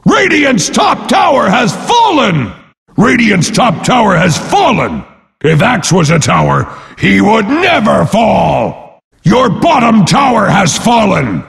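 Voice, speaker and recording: Battle-hungry warrior brute, game announcer, shouting, slow emphatic delivery, gravelly, pompous, brash. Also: male voice, sits high, sing-song, long reverb tail, heavily acted. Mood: scornful, boastful. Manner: pompous, speaks of himself in third person.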